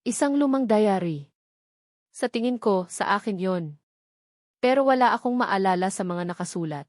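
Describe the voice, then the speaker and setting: A woman voicing an anxious, hesitant young woman with memory loss in an audio romance drama, speaking in a halting, tentative way. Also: mid-range voice, lively intonation, a little mellow, no echo, studio quality, close to an everyday voice. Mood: confused, hesitant.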